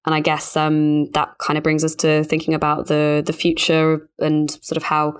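A woman with a notably low voice and little melodic movement hosts a business podcast. She speaks conversationally but analytically, weighing points in a measured way. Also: monotone, smooth. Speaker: podcast host and financial journalist.

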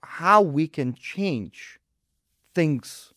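A man's voice: fairly high pitch, animated, very slow, fairly bright tone, no room sound, studio quality. A middle-aged man from Ukraine with a Ukrainian accent, explaining things emphatically in a conversational interview as a guest surgeon.

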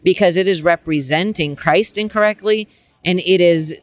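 A woman's voice: fairly low pitch, normal speed, no room sound. A woman, a ministry leader, speaking as a guest in a faith-based interview, earnest and emphatic with a preaching tone.

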